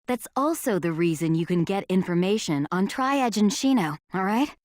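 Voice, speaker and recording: A woman voicing a cool, businesslike female agent in a game, crisp and matter-of-fact as she briefs. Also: medium pitch, animated, moderate pace, darkish tone, dry recording, studio quality, natural voice. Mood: briefing.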